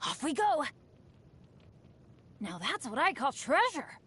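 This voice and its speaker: Upbeat, unlucky teen boy hero for a game: high, very animated, earnest. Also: slow, full character voice. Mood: eager, excited. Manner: earnest, exclamatory. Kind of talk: video game character voice lines.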